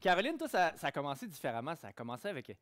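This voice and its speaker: A man's voice: on the high side, sing-song, very fast, a bit bright. A man with a Québécois accent moderating a panel at a forum, introducing guests with playful asides to the audience. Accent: Québécois French. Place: conference hall stage.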